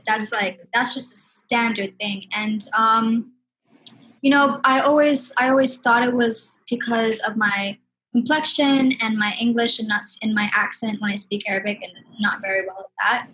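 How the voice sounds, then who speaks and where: Guest, woman from Sudan, heartfelt casual talk, higher-pitched. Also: steady tone, normal speed, sharp tone, a little hiss or hum, low-quality recording. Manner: candid, heartfelt, many "you know what I mean". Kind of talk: casual conversation.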